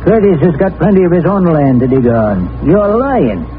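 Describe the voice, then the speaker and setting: An actor playing a hot-tempered, threatening country landowner, barking and blustering in a 1940s radio drama. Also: male voice, a little high, big pitch swings, normal speed, faint music behind. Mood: angry. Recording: tinny, hissy old radio recording.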